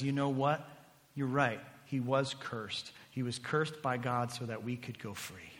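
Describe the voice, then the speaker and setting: Pastor guy preaching, bright forceful voice, big echoey hall. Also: on the high side. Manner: emphatic preaching with sharp swings between loud and hushed. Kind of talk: sermon. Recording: long hall reverb.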